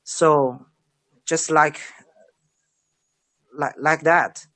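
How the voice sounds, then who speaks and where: A man with a Chinese accent, a hospital doctor, gives a measured opinion in a panel discussion with frequent hesitations. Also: a little high, steady tone, sharp tone. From China.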